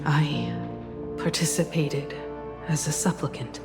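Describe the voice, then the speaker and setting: An actress playing a solemn, regretful woman in a video game, speaking in a grave, deliberate way with pauses. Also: deep voice, flat delivery, loud music behind the voice. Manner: deliberate, pausing, somber. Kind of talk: video game character acting.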